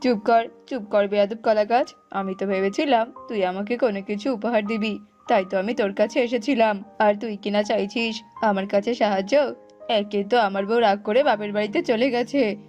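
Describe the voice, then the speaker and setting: A woman's voice: medium pitch, a little mellow, lo-fi sound, close to an everyday voice. Woman as a grumpy, impatient cartoon crow husband. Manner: scolding, impatient, dismissive. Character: grumpy, selfish male crow husband late for work.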